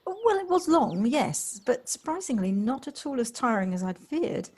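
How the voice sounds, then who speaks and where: A woman's voice: on the high side, very animated, moderate pace, warm tone, breathy edge. An actress playing a polite, wistful city woman in an audio play, reflective and a little hesitant. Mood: polite, grateful. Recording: home device recording, light background noise.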